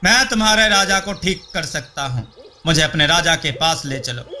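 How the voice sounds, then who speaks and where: A man's voice: a little high, expressive, moderate pace, sharp tone. A man voicing an earnest, confident young man in a folk tale, speaking politely and insistently to reassure.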